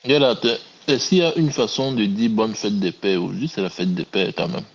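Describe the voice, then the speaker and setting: A man's voice: fairly low pitch, fairly quick, breathy edge, dull audio. A man in an online class making polite requests over a video call. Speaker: class participant.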